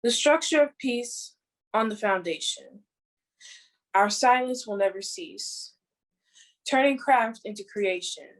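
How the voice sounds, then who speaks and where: Slow young woman reciting verse. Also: a little high, expressive, poor audio. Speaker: poet reading own work. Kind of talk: formal poetry recital.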